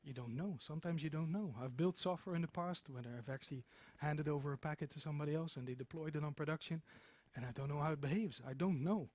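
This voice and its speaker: A man's voice: a little high, quick pace, few pauses, a little mellow. A middle-aged man giving an informal conference talk, emphatic and repeating phrases for stress. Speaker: conference speaker, software developer. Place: meeting room with seated audience, indoors.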